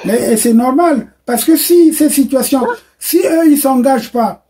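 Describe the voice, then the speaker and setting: A man's voice: very high-pitched, unhurried, dark tone. A middle-aged man hosting a political discussion, affirming his guest with "voilà" and drifting into long explanations. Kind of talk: political talk show discussion.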